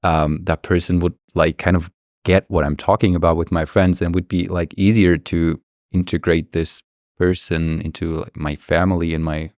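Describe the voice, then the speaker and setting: A man's voice: low voice, little pitch movement, normal speed, a little mellow, dry recording, studio quality. A man from Germany, a native German speaker, talking casually and earnestly as a podcast guest.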